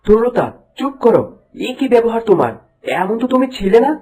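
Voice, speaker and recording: A man voicing an earnest, troubled young prince in an animated fairy tale, speaking emphatically. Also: very high voice, a little fast, exaggerated voice. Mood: reproachful.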